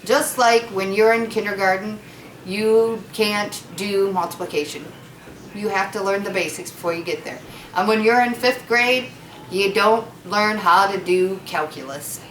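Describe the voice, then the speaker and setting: A woman's voice: medium pitch, a little slow, fairly bright tone, echoey room, poor audio. Woman teaching, noticeable background noise. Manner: informal, explanatory, speaks simply to children. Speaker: elementary school teacher. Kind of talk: read-aloud lesson with casual explanation to pupils.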